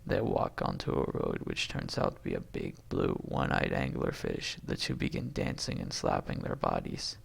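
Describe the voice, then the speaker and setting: A husky-voiced man reading a film script aloud, stage directions and dialogue alike, stumbling and correcting himself and breaking off into asides. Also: a bass voice, wildly swooping pitch, normal speed, few pauses, slight background noise. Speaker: amateur reader doing a long script read-aloud.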